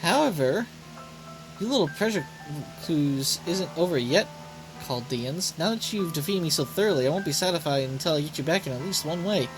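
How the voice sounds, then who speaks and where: A woman voicing a haughty, sarcastic young female villain in an anime game, cool and sardonic but quick to indignation. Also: deep voice, expressive, rough recording. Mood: bitter, challenging. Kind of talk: voiced reading of anime game dialogue. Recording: loud game music behind voice.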